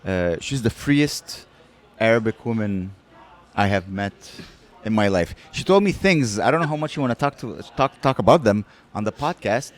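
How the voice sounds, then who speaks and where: A man hosting a podcast, introducing his guest with eager, halting speech and many restarts. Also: fairly low pitch, expressive. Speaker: podcast host. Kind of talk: casual interview chat. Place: podcast studio.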